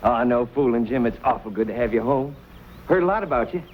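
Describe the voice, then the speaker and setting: An actor playing an eager, admiring young frontiersman in an old-time western drama, folksy and excitable. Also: medium pitch, fairly quick, phone-quality sound, close to an everyday voice. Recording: noisy old radio-era recording.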